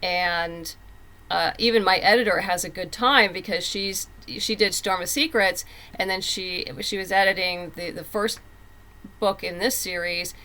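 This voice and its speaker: Low-voiced woman chatting as a guest. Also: a little slow, pushed and bright. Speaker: comic book writer and guest. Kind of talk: conversational interview talk.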